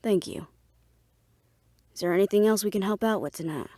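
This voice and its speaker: A woman acting a guarded, businesslike plotter in an audio roleplay drama, speaking in a measured, serious way. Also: mid-range voice, dark tone, no echo, natural voice. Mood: measured, sincere.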